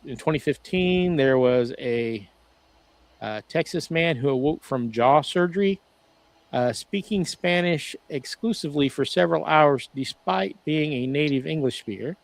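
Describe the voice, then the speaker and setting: A man co-hosting a casual podcast discussion over a video call, talking in a rambling, halting way with lots of "uh" restarts. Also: a little high, creaky, dry recording. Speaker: podcast co-host.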